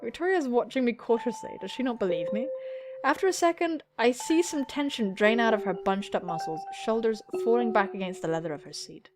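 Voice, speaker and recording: Casting: young female gaming host, giggly and excitable, bright-pitched and highly animated, music underneath. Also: fairly quick. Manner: excitable, squealing, giggly, swearing. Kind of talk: playthrough reading visual novel aloud with excited reactions.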